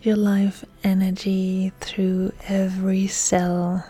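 Slow, breathy woman guiding relaxation. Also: medium pitch, monotone, soft background music. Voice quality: soft, breathy. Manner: soothing, hushed, often near-whispered delivery. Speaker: yoga instructor. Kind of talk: guided relaxation meditation.

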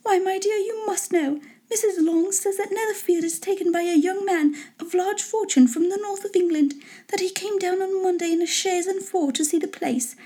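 Woman putting on a high-pitched, fussy, gushing wife. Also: moderate pace, dark tone. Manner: gushing, pleading, impatient. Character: fussy, excitable middle-aged wife, eager matchmaking mother. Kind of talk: storybook character voice in an audiobook reading.

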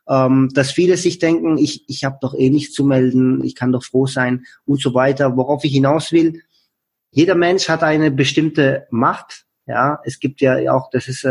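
A man shares workplace observations in relaxed talk, turning to address listeners directly with advice. His voice sits a bit high and sounds husky. He acts out the lines of the people he quotes. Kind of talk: casual conversation.

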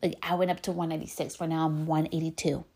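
A woman's voice: deep voice, flat delivery, quick pace, dark tone, slight background noise. A woman with a Spanish accent hosting a podcast, giving an earnest, emphatic motivational talk. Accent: Spanish-accented English. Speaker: podcast host. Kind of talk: motivational monologue.

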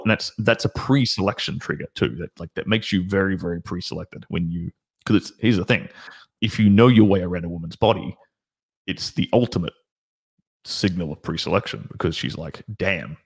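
A man with a deep voice, a guest dating coach talking confidently in casual chat, often rambling and restarting. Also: slightly rough.

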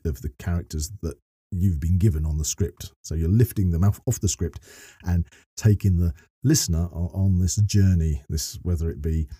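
A man's voice: sits low, normal speed, few pauses, dark tone, slightly rough, no room sound, studio quality. A middle-aged man speaking earnestly as a podcast guest, explaining his work as a voice actor and narrator in wordy, often rephrased sentences.

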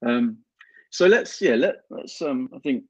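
A man's voice: mid-range voice, animated, no echo. A man hosting an interview podcast over a remote call, chatting casually and often restarting his sentences. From England. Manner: halting, many restarts and fillers. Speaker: podcast host. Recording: dull lo-fi remote call audio.

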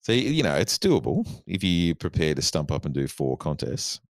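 A man's voice: rumbling low, very animated, moderate pace, no room sound, studio quality. A man, co-hosting a casual podcast chat in an easygoing way. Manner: easygoing, reads out figures. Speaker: podcast co-host.

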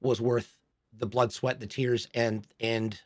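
A man's voice: medium pitch, level tone, takes it slowly. A man in his forties, a podcast co-host, telling a personal story in a candid, reflective way. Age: 40s. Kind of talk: casual chat.

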